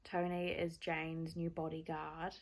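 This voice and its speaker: A woman's voice: low voice, monotone, slow pace, slight background noise. A young woman with a New Zealand accent chatting casually in a reading vlog, rambling and self-deprecating. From born in Scotland, raised in New Zealand. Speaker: book vlogger.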